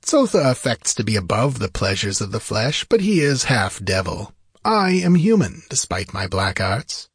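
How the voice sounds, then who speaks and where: A man's voice: lowish voice, sing-song, normal speed, slightly rough, no echo, studio quality, full character voice. A man voicing a sly, world-weary, pleasure-loving sorcerer with an eloquent, theatrical manner in a fantasy audiobook. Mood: weary, indulgent.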